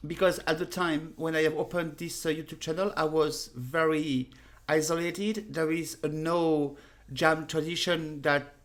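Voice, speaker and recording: A woman in her thirties with a French accent, teaching a casual lesson to a webcam in a small room at home, unhurried and full of fillers. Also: deep voice, fairly even intonation. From France. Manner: unhurried, pauses with many fillers, direct. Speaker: harmonica teacher. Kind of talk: casual spoken lesson to camera.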